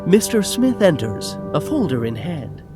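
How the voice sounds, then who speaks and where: Animated higher-voiced male narrator. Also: a little slow, over music. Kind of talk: scripted narration of stage action.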